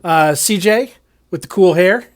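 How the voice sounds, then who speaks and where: A young man serving as dungeon master, with a voice that sits a little high. He speaks from a home microphone on a video call and introduces players in a loose, halting way, filling gaps with "uh". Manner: hesitant, many "uh" fillers. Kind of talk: casual table talk, introducing players.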